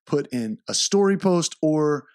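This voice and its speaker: A man hosting his podcast, giving upbeat, hyped shout-outs to listeners. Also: fairly high pitch, animated, measured pace, dry recording, clean studio sound. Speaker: podcast host. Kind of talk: solo podcast intro.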